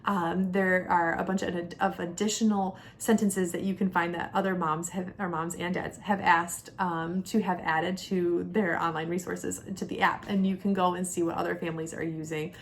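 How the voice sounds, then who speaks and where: A woman's voice: level tone, moderate pace, few pauses. A woman in her thirties giving a casual, conversational product review as a homeschooling mom, explaining things step by step. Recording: clear background noise with low rumble.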